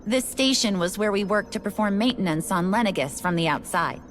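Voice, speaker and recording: An actress playing a resigned, matter-of-fact station worker in a video game, explaining things in a casual, unbothered way. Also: female voice, medium pitch, lively intonation, fairly quick, slight background noise, natural voice. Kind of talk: video game cutscene voice acting.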